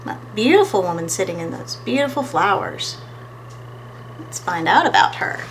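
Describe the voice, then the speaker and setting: A woman's voice: medium pitch, big pitch swings, moderate pace, slight background noise, rough recording. A woman reading a picture book aloud as a storytime host, expressively and talking to her listeners between pages.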